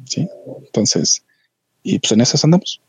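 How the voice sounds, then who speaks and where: A Mexican man with a Mexican accent in a casual podcast discussion, reflective and halting with frequent restarts. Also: mid-range voice, very animated, a little mellow, husky, dry recording, low-quality recording. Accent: Mexican Spanish.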